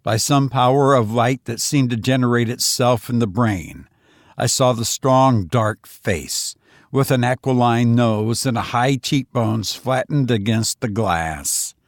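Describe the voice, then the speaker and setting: A man's voice: mid-range voice, a little slow, no room sound. Man narrating a ghost story, dry sound. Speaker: audiobook narrator. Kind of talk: scripted narration of a ghost story, first person.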